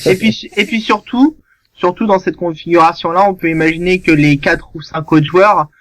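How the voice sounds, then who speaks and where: A man's voice: high voice, steady tone, moderate pace, a bit bright, slight background noise. A man on a casual radio chat, adding points to a poker discussion in a thoughtful way.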